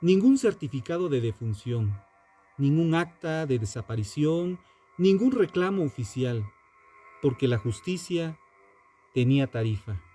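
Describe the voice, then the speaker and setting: Male narrator for true crime: mellow, dark-toned, unhurried and grave, sententious scripted delivery over music. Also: on the high side, animated. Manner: solemn, deliberate, sententious. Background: background music.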